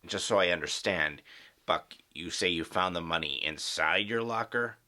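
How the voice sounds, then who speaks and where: A man playing a measured, evasive school principal in an audio drama, speaking in a formal, guarded way. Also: low voice, bright, forceful tone, voice pushed down. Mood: probing.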